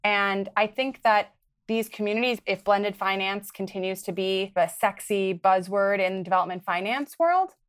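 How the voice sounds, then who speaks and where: A young woman from Wisconsin talks as a podcast guest, earnest and conversational. Her voice sits at an ordinary middle pitch. She gives advice in a frank way. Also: normal speed. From Wisconsin, United States. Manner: earnest, advice-giving, occasional laughs. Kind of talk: conversational interview.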